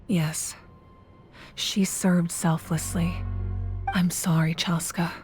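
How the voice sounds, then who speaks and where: A woman playing a solemn, commanding war leader in a game, speaking in a grave, measured way. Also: on the low side, level tone, darkish tone, soft background music. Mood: grave, sorrowful.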